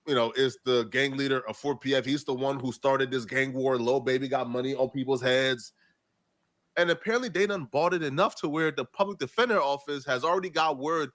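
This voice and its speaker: A man's voice: medium pitch, a bit bright. A man giving opinionated news commentary to viewers in an emphatic, incredulous, slangy way. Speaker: online video commentator.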